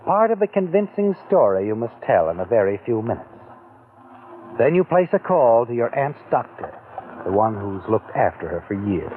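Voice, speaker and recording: Insinuating male narrator, lively delivery, tinny vintage radio. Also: medium pitch, a little hiss or hum. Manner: insinuating, addresses the listener as "you". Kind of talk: second-person suspense narration. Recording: tinny old radio broadcast with music.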